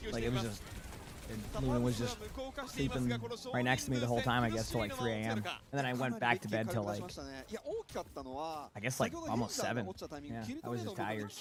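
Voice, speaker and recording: A man's voice: mid-range voice, fairly even intonation, brisk, long pauses, a loud crowd. Man streaming with a slightly worn voice. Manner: rambling, chatty, many fillers and restarts. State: losing his voice a little. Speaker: game streamer. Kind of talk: casual live-stream chat while watching esports.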